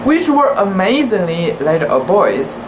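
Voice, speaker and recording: Slow, halting amateur story reader. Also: mid-range voice, very animated, background noise. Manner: halting, careful word-by-word delivery with stumbles. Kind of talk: dramatic reading of a literary short story.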